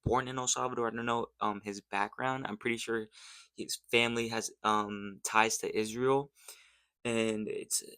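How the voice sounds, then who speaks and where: A man's voice: mid-range voice, fairly even intonation, normal speed, sharp tone, clean tone, no echo. A young American man giving casual vlog commentary in an earnest, rambling, slangy way. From United States. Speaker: YouTube host.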